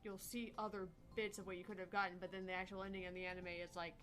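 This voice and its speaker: A woman's voice: medium pitch, level tone, fast talker, fairly bright tone, soft background music. A woman co-hosting a gaming show, chatting casually about the game. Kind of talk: casual chat commentary.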